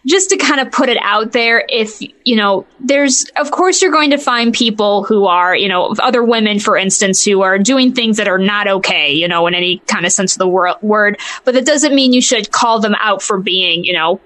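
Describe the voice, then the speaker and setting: A woman's voice: medium pitch, moderate pace, hardly any pauses, a bit bright. A woman co-hosting a casual gaming podcast, giving opinions hesitantly and often circling back to qualify herself. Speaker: podcast co-host.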